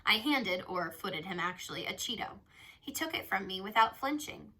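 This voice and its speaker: Bright, forceful woman reading a novel aloud. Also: medium pitch, moderate pace. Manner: animated, acts out dialogue. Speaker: read-aloud storyteller. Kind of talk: expressive children's novel narration.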